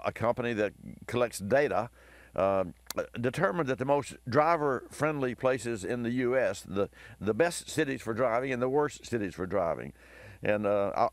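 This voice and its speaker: Male radio host, deep chesty voice, upbeat and chatty, dry studio sound. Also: no echo. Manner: upbeat, chatty, with chuckles and restarts. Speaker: radio call-in show host. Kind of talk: casual radio talk and live ad read. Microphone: studio microphone.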